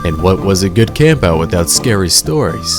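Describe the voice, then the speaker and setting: A man with a fairly low voice narrates a scripted story. His delivery is measured and dramatic, in storybook fashion, with faint music underneath. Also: big pitch swings.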